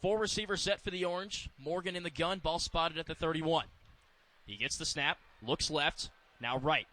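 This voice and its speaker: Bright-voiced American man calling football play-by-play. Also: fairly high pitch, normal speed. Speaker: radio play-by-play announcer.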